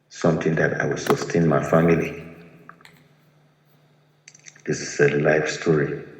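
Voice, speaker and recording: A man's voice: rumbling low, a little mellow. A man from Liberia, a retired soldier telling his own story in an earnest, pleading way. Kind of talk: personal testimony to camera. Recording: echoey room with long reverb.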